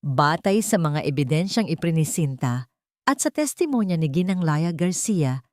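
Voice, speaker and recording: A woman voicing a solemn courtroom judge in a formal, measured manner. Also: low-pitched, lively intonation, a little mellow, dry recording, clean studio sound. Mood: stern, formal.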